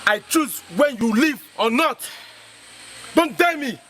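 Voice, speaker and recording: An actor playing a possessive, hot-tempered young boyfriend who makes aggressive, repeated demands in a melodramatic film. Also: male voice, very high voice, lively intonation, slow, a bit bright, a little hiss or hum, heavily acted. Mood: threatening.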